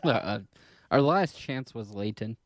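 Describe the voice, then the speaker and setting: A man's voice: mid-range voice, animated, measured pace, no echo. A man co-hosting a casual political chat, speaking hesitantly as he searches for words. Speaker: podcast co-host.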